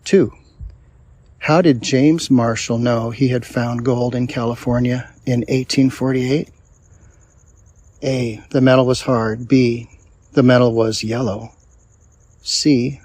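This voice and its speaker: A man from Wisconsin, host of a reading channel, reading quiz questions slowly with pauses. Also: mid-range voice, a little mellow, no echo. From Wisconsin, USA. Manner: slow, deliberate reading with pauses.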